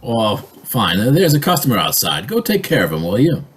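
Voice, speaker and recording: A man playing an evasive, flustered boss in an old-time radio drama, hesitant and stammering, with a husky voice. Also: mid-range voice, very animated, brisk, a bit bright, slight background noise. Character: evasive, flustered male boss dodging work.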